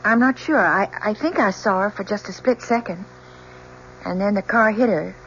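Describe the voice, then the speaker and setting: A woman's voice: medium pitch, moderate pace, fairly bright tone, close to an everyday voice. An actress in an old-time radio drama playing a troubled, conscience-torn young woman with a hesitant, emotional delivery. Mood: hesitant, subdued. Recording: muffled old radio recording, faint noise.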